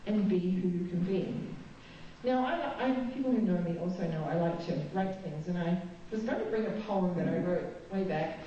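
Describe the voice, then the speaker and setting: A woman's voice: medium pitch, dark tone, distant mic, slight background noise. A woman from New Zealand, a guest speaker at a spiritualist chapel, giving a spiritual talk with candid, self-mocking personal stories. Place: chapel hall. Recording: echoey dull hall recording from afar.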